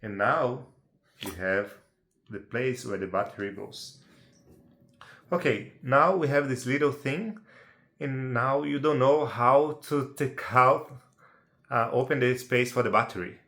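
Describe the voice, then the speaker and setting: Brazilian man, Portuguese-accented English, mid-pitched, friendly amateur tutorial delivery full of tag questions, roomy echo on the track. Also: measured pace, pauses often, bright, forceful tone. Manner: chatty, checks in with tag questions like "right?" and "yeah?". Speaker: amateur how-to presenter. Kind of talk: casual unedited tutorial.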